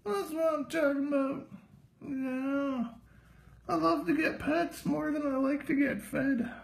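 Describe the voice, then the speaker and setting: A performer voicing a vain, sassy female house cat with a raspy, drawling voice, live-streaming from a home kitchen on a phone. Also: high-pitched, steady tone, roomy sound, exaggerated voice, poor audio. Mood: smug. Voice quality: raspy, gravelly. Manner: slow, drawling, full of herself. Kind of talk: live-stream pet narration. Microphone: phone camera held by the speaker.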